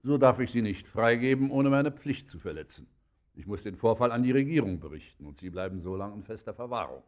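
An actor playing a pompous, officious district magistrate in a German radio play, speaking with formal courtesy and measured bureaucratic authority. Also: male voice, fairly low pitch, expressive, darkish tone, muffled recording, lightly acted. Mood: formally courteous, warning.

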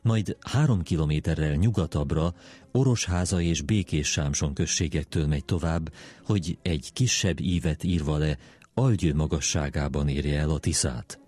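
A man reading official document text aloud in a measured, formal way for a history programme. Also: extremely low pitch, a little mellow. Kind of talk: formal read-aloud of treaty articles.

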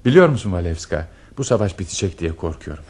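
A man's voice: low voice, sing-song, fast, darkish tone. A man playing an ardent, intense officer in earnest, declamatory radio theater style. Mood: tender, anxious. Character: lovestruck, intense male officer in a wartime romance.

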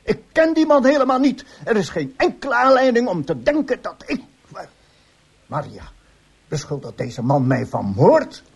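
Radio drama: indignant, haughty brother, high husky voice, easily flustered. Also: male voice, very animated, normal speed, highs cut off, full character voice. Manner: stammering when flustered, haughty. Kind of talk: Dutch radio drama acting.

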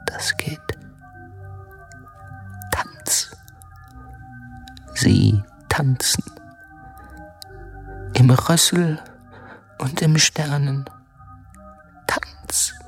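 Woman acting a slow, low, haunted soldier. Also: vocal fry, heavily acted. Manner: halting, whispery, obsessively repeating fragments. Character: jealous, tormented soldier, feverish and haunted. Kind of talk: dramatic radio play monologue. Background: music.

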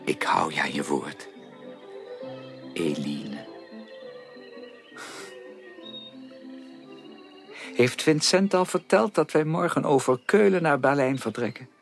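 An actor playing an earnest, caring and direct gentleman suitor in a radio drama, speaking formally and emphatically with probing, insistent questions. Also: medium pitch, big pitch swings, unhurried, creaky, soft background music. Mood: tender, earnest. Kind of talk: 1980s Dutch radio drama acting.

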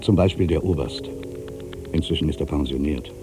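A man's voice: extremely low pitch, normal speed, over music. A man playing an ageing, solitary writer, proud and wry, brooding through a literary dramatic monologue. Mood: musing, wistful. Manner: brooding, self-questioning, swinging from mutters to outbursts.

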